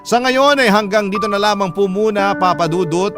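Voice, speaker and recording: A man with a slightly high voice narrates as a radio storyteller. He reads a letter dramatically in the first person, with music clearly behind him. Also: moderate pace.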